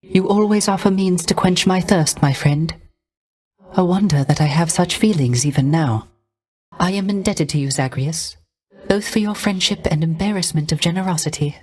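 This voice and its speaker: A gentle, sorrow-tinged male musician from a video game , acted by a man. The voice is light and rather high for a man, delivered softly and with genteel care. Every phrase is formal, theatrical and ornately polite, carrying a wistful devotion. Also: moderate pace, lightly acted. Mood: warm gratitude. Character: gentle, melancholy court musician, devoted and courteous.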